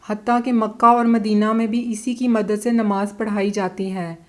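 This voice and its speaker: A woman's voice: mid-range voice, level tone, normal speed. A woman reads scripted history narration in a formal, literary storytelling manner, like a documentary narrator.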